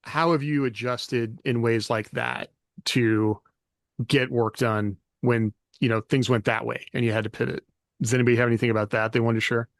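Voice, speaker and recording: A man hosting a podcast panel in casual chat, relaxed as he puts open questions to the group. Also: medium pitch, normal speed, gravelly, no room sound. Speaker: podcast host.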